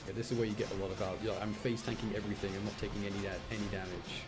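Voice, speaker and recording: A man giving casual gameplay commentary in an excitable way, repeating phrases for emphasis as he shows clips. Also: on the low side, very fast, music in the background. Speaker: gamer showing gameplay clips.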